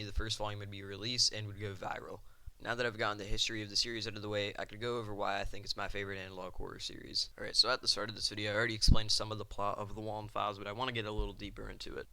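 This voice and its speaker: A man delivers casual video commentary at a brisk clip. His voice is bright and crisp, and he keeps to a fairly even pitch. His phrasing is informal, with the odd stumble. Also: no echo, rough recording. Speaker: video essay host.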